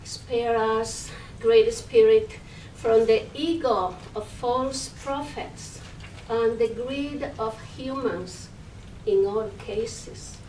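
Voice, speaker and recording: A woman poet reciting a prayer-like poem at a live reading, solemnly, with pauses and swells and drops in force. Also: fairly high pitch, steady tone, warm tone. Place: public library reading room. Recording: roomy, distant mic, faint noise.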